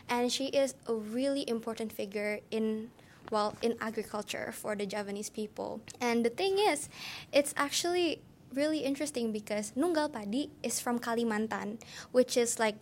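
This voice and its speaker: A young woman with a fairly high voice explains things in a chatty way, leaning on "like" and "you know". A faint low rumble sits behind her. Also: a little mellow. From Indonesia. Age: young adult. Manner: chatty, explanatory, with many fillers like "like". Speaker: exchange student and dancer. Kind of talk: casual interview answers.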